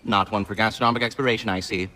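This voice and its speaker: An actor voicing a fussy, effusive talking squirrel with florid, genteel diction, like a refined gourmand in a video game. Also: male voice, fairly low pitch, fairly even intonation, racing, sharp tone, faint music behind. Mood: polite, explanatory. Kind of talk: video game character acting.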